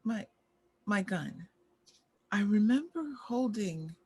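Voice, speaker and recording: A woman playing a boozy, bewildered former child star in a drama table read, husky and halting. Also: mid-range voice, expressive, warm tone. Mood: shaken. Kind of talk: screenplay table read acting.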